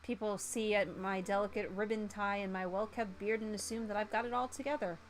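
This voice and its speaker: A woman voicing a proud, earnest gentleman chef with big dreams in a visual novel. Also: medium pitch, fairly even intonation, a little fast, slight background noise, close to an everyday voice. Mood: wistful pride.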